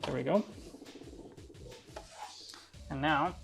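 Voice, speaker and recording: A man's voice: on the high side, extremely slow, creaky, background noise. A man hosting a hands-on build tutorial, talking informally through each step as he works. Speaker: host of a DIY build tutorial. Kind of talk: casual hands-on instructional narration.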